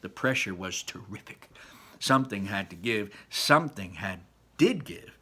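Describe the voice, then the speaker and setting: A man's voice: lowish voice, animated, normal speed, pushed and bright. A man reading a children's story aloud with dramatic emphasis and a teacherly manner. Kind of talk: expressive children's story narration.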